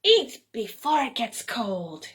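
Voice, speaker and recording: Woman putting on a high, boisterous little storybook girl. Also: big pitch swings, slow, a bit bright, exaggerated voice. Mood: bossy, eager. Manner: emphatic, playful, breezy. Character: cheerful, boisterous, boastful little girl telling tall tales. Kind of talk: storybook character voice in a read-aloud.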